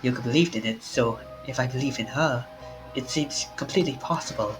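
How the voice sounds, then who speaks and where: A man's voice: mid-range voice, brisk, close to an everyday voice, rough recording. A man voicing a frightened young man whose friend has collapsed, reading a visual novel aloud and stammering in panic, with game music behind. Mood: grieving, resolved. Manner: stammering, panicky, then quietly resolved.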